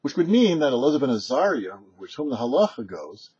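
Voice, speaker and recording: Male religious teacher, husky voice, animated intonation, measured explanatory delivery, slightly dull audio. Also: mid-range voice. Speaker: rabbi hosting a weekly Torah teaching show. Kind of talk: prepared religious teaching, read aloud.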